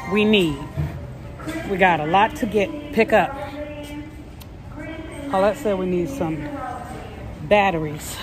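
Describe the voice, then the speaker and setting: A middle-aged woman, chatting casually and haltingly to her vlog viewers on a phone at arm's length. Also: fairly low pitch. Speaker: vlogger. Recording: noisy handheld phone recording.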